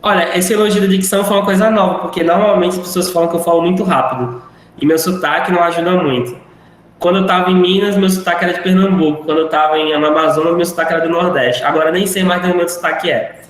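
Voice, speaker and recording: A man's voice: sits high, steady tone, fast talker. Chatty male teacher in an echoey room. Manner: rambling, chatty, self-deprecating. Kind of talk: live online class wrap-up. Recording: very echoey room, faint noise.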